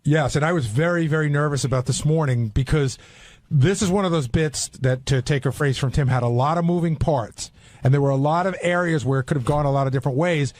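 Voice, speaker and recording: Guy w/ highish voice explaining stuff on a radio show, rambly, dead dry studio. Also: a little fast, darkish tone, dry recording. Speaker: radio show staff member on air. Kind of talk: casual studio discussion.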